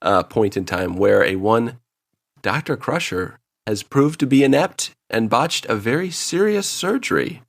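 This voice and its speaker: A man's voice: mid-range voice, expressive, unhurried, vocal fry, no echo, clean studio sound. Joking man co-hosting a podcast. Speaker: podcast co-host. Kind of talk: casual comedic banter about a TV show.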